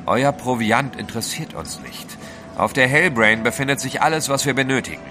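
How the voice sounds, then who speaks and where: An actor in a German radio drama playing a resolute, level-headed gentleman adventurer, articulate and commanding. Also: mid-range voice, a little fast, over music, lightly acted. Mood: firm, composed.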